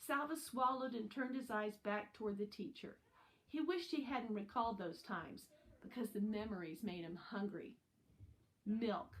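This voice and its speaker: A woman's voice: medium pitch, moderate pace, fairly bright tone, rough recording. A woman narrating a children's novel aloud as a scripted reading. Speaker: audiobook reader.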